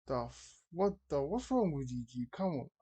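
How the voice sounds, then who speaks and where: A man's voice: a little high, big pitch swings, normal speed, mellow, breathy edge. A man giving casual, slangy, exclamatory commentary as a reaction video host.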